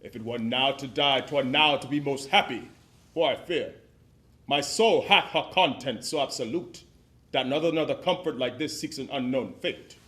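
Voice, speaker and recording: A man's voice: medium pitch, moderate pace, sharp tone, close to an everyday voice. An actor playing a passionate stage actor who coaxes his scene partner and declaims verse with swelling volume. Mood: declaiming. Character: passionate male stage actor rehearsing a romantic lead. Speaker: actor in a dramatized rehearsal. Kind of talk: period drama acting, reciting verse.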